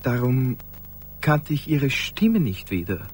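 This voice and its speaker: A man's voice: mid-range voice, big pitch swings, slow pace, breathy edge, exaggerated voice. An actor in a radio play playing an anxious, mild man who hesitates and fusses with concern over his friend. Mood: puzzled. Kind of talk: 1980s German radio play acting.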